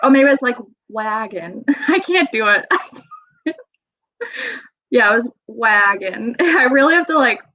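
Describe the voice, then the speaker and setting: A woman's voice: fairly high pitch, animated, a little slow, long pauses, pushed and bright. A young woman from Wisconsin with a Wisconsin accent, chatting as a podcast guest, giggly and full of fillers. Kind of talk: casual interview chat. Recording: dull lo-fi sound.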